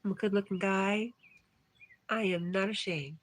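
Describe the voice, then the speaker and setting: A woman's voice: medium pitch, normal speed. A woman hosting a casual livestream, reading viewer comments aloud and replying in a chatty way. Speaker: livestream host.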